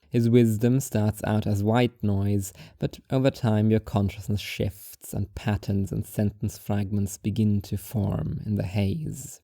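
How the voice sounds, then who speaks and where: A man reads a second-person story in a measured, wry way. His voice is mellow and of middle pitch, recorded close and completely dry. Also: creaky, no room sound. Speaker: narrator.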